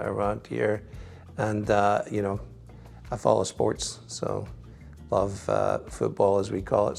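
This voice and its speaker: Low gravelly Scottish priest. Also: male voice, darkish tone. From Scotland. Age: middle-aged. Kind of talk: reflective interview answers.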